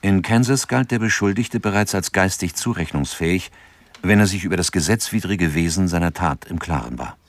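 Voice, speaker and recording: A man's voice: low-pitched, a little fast, close to an everyday voice. An actor as the sober male narrator of a true-crime radio drama, factual and restrained.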